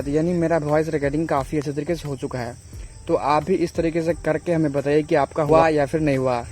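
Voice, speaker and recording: A man's voice: fairly high pitch, a little mellow, a little hiss or hum. A man hosts a step-by-step phone tutorial in a repetitive, instructional way with frequent check-in tags. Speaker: tech tutorial host.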